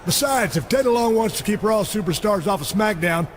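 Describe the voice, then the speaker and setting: A man's voice: sits high, animated, fairly quick. A man playing a brash, blunt, folksy wrestling boss who swears freely in a video game cutscene, on a very noisy recording. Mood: indignant. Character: brash, gruff-talking, cussing wrestling boss, adult man.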